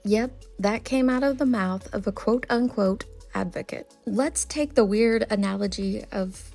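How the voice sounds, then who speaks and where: A woman's voice: mid-range voice, a little slow, vocal fry, darkish tone, soft background music. A woman hosting a commentary channel, reading a scripted voice-over in an earnest, critical way. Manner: reads from notes, critical and earnest.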